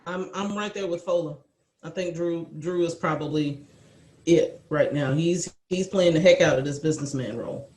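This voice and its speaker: A man chatting casually on a group video call in an animated, slangy way. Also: a little high, normal speed, reverberant. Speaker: cast member. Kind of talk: casual chat.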